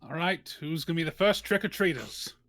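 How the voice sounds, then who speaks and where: A man's voice: a little high, animated, a little fast, fairly bright tone. A man chatting casually and jokingly as a player in a tabletop roleplaying game, with a wry manner.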